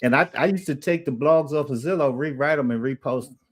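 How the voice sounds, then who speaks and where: A man chatting casually as a guest investor, relaxed and enthusiastic. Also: on the high side, brisk. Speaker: guest real estate investor. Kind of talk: casual chat.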